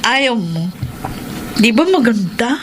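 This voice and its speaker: A woman's voice: a little high, big pitch swings, slow pace. A woman playing an evasive, defensive cheating wife in a Filipino radio drama, with a Filipino accent. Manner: defensive, brushing off questions. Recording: noisy radio drama recording.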